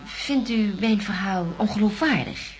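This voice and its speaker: A woman's voice: mid-range voice, measured pace, close to an everyday voice, low-quality recording. An actress as earnest, restrained woman astronaut. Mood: earnest, questioning. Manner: serious, restrained. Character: earnest woman astronaut-scientist, seeking to be believed. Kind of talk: naturalistic radio drama dialogue.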